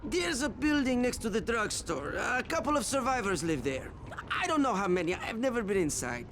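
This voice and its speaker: Very high-pitched, slippery peddler. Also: male voice, very animated, moderate pace, a bit bright, slight background noise, full character voice. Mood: evasive, nervous. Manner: theatrical, wheedling. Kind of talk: video game cutscene dialogue.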